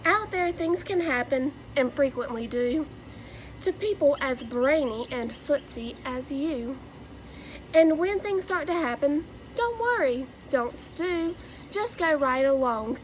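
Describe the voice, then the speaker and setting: A woman, reading aloud to children in a deliberate, sing-song storybook way. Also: high-pitched, animated, a little hiss or hum, low-quality recording. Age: 20s. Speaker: new education graduate reading a children's book.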